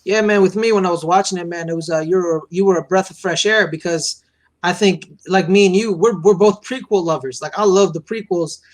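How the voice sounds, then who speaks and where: Young co-host, man, early 20s, high voice, eager and slangy, stumbling over his words. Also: a little fast. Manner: excitable, slangy, stammers and repeats. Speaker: podcast co-host. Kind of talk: casual podcast conversation.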